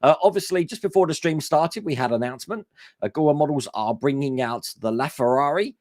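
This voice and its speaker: A man hosting a casual live stream, his voice hoarse and worn, chatting enthusiastically with lots of fillers. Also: on the high side, brisk, darkish tone, no room sound, studio quality. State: hoarse, voice going.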